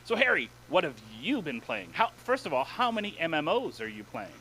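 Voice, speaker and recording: A man hosting a gaming podcast in casual chat, excitable and playful, laughing often. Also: on the high side, fairly quick, a little hiss or hum, rough recording. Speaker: podcast host.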